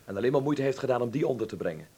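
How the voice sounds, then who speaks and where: A man's voice: medium pitch, expressive, rapid-fire, dark tone, breathy edge. An actor playing an earnest young son in a wartime radio play, arguing persuasively with his family. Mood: reasoning, critical.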